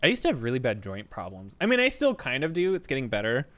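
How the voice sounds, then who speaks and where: A man's voice: mid-range voice, huge pitch swings, fast talker, fairly bright tone. A man in his twenties chatting casually while playing a game, rambling, swearing freely and joking about himself. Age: 20s. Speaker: streamer.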